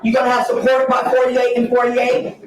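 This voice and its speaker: A woman from Ohio giving an earnest, emphatic presentation about her nonprofit, her voice raspy from an early start. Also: on the high side, monotone, brisk, a crowd in the background. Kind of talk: conference presentation. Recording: echoey hall, distant mic.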